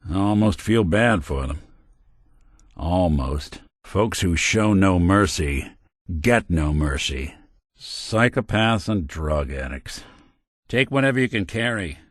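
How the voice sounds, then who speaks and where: A weary synth private eye from a video game, trading dry wisecracks in an old-fashioned gumshoe cadence. The voice sits a little low and gravelly, yet it swings up and down a lot. It is recorded dry and close, with no room around it. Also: male voice, no echo. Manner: dry sardonic quips, noir detective cadence. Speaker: companion character. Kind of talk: game voice lines.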